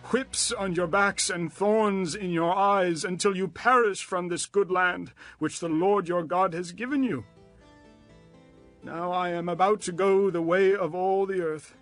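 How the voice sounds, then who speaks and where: An actor playing an aged leader of Israel, grave and exhorting, in a dramatized scripture reading. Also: male voice, high voice, a little slow, fairly bright tone, soft background music. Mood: grave warning. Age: older. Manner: grave, declamatory, emphatic.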